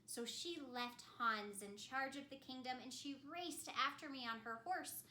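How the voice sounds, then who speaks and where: Slow, high-voiced woman telling children's stories. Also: sharp tone, slight background noise. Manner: theatrical, simple, addressing children directly. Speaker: costumed children's storyteller playing an ice queen. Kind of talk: animated first-person children's storytelling. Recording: roomy echo.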